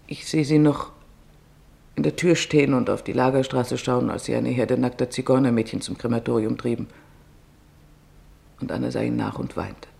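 A weary, sorrowful camp survivor, voiced by a man, gives testimony in a radio play. The voice has a heavy creak and a medium pitch. The recollection is plain and subdued. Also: a little mellow.

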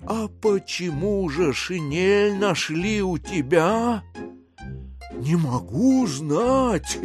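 An actor playing a sly, self-amused gentleman schemer who muses aloud theatrically in an audio drama. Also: sits high, animated, very slow, faint music behind, full character voice. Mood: amused, imaginative.

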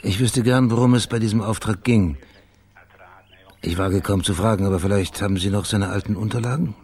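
Actor as low-voiced, probing male investigator. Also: fairly quick, a little mellow, lightly acted. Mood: polite. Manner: terse, polite, inquisitive. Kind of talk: naturalistic radio crime drama acting.

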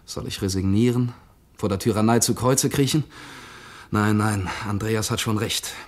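A man's voice: fairly low pitch, moderate pace, close to an everyday voice. An actor playing a defiant young male poet in a radio drama, speaking in an impassioned, declamatory way. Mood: determined. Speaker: army doctor and playwright. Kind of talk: 1950s-style radio drama acting.

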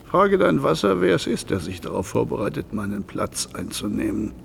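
A man's voice: medium pitch, sing-song, normal speed, gravelly, a little hiss or hum. A man playing a suspicious, ruthless Byzantine emperor in a German radio play, with a commanding, dramatic delivery. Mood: impatient, suspicious.

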